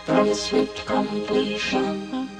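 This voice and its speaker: A woman's voice: mid-range voice, steady tone, slow pace. A woman performing as a solemn, authoritarian robotic voice through a vocoder, reciting slogans in a song. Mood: solemn, chilling. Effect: vocoder, robotic musical processing. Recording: loud music mixed over processed voice.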